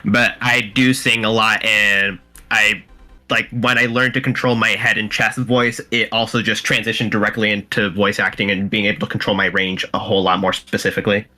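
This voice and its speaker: A man on a voice-acting commentary panel, explaining singing technique in quick casual chat on a home mic. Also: lowish voice, flat delivery, bright, forceful tone. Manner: fast, explanatory, demonstrates voice tricks. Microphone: low-quality home mic.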